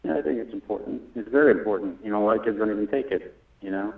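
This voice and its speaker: Over a thin, echoey phone connection, a man speaks in a fairly low voice. His delivery is casual and tentative, with sentences that tend to trail away. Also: rapid-fire, gravelly. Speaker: job applicant on a phone call. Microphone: telephone. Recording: tinny, echoey phone line.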